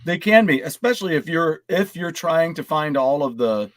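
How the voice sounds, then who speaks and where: A man's voice: on the high side, expressive, moderate pace, no room sound. A man chatting casually as a podcast panelist, easygoing and joking.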